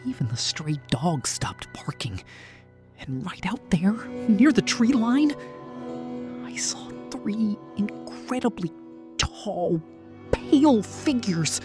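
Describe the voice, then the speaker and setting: A soft-spoken, weary woman narrating a first-person horror story. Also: medium pitch, big pitch swings, slow pace, over music.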